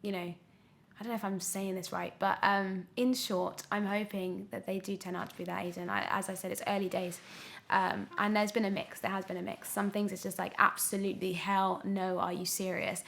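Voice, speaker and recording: Young woman, creaky voice, casual interview talk, hesitant, reflective, thinking aloud. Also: medium pitch, flat delivery, fairly quick, fairly bright tone. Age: young adult. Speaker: podcast guest, actress. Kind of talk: candid casual interview conversation.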